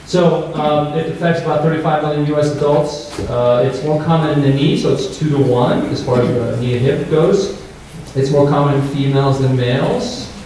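A man's voice: a little high, fairly even intonation, moderate pace, dark tone. A man in his thirties from Albania, a surgeon giving an informal, conversational medical talk and often checking in with listeners. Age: 30s. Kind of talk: informal medical presentation to a live audience. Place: hotel-style meeting room with seated audience. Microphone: handheld mic, picked up from far off by an audience camera. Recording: distant, very echoey room with faint noise.

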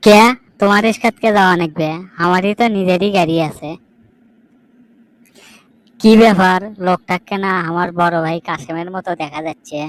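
A woman's voice: lowish voice, moderate pace, long pauses, no echo, close to an everyday voice, poor audio. A woman voicing a wronged, proud younger brother in an amateur cartoon, plaintive and declamatory. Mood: curious, proud.

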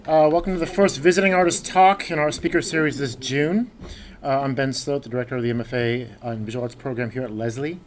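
A man's voice: mid-range voice, fairly even intonation, quick pace, a little hiss or hum. A man, the program director, reading a formal introduction of a guest speaker from notes at a podium. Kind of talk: read-out introduction. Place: dark lecture hall.

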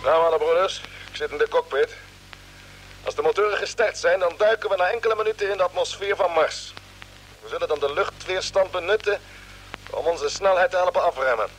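An actor playing a calm, authoritative spaceship captain giving brisk orders in a vintage radio drama. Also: male voice, high voice, soft background music, close to an everyday voice, low-quality recording. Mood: commanding. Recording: old radio recording with background noise.

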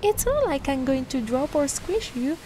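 A woman from Italy voicing a cute, kindly, anxious giant mermaid in an ASMR roleplay, flustered and softly cooing. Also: high voice, very animated, normal speed, a little hiss or hum. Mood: caring.

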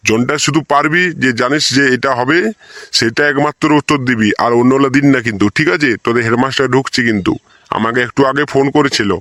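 A man's voice: medium pitch, fast, fairly bright tone, dry recording, clean studio sound, lightly acted. A man voicing a bossy, proud village schoolteacher in a comedy cartoon, insistent and repetitive, with a rural West Bengal accent. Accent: rural West Bengal (Bankura). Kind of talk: comic cartoon voice acting.

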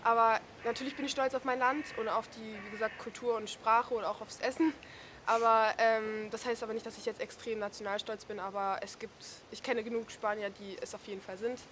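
A woman's voice: on the high side, flat delivery, brisk. A young woman answering a reporter's questions outdoors into a handheld mic, friendly and thoughtful. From Spain. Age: young adult. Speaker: interviewee on the street. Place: outdoors in a town square. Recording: outdoor street noise.

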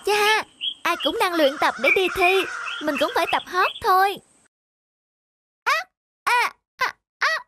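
A woman voicing an eager, excitable young bird in a cartoon, chirpy and exclamatory. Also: very high-pitched, slow, pauses often, a little hiss or hum, exaggerated voice. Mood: plotting. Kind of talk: animated cartoon dubbing. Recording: loud music over the voice.

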